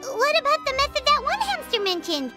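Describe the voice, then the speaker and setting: A woman's voice: extremely high pitch, sing-song, brisk, over loud music. A woman voicing a tiny, childlike, cheerful fairy companion in a video game, chirpy and eager. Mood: upbeat. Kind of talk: cartoonish video game voice acting.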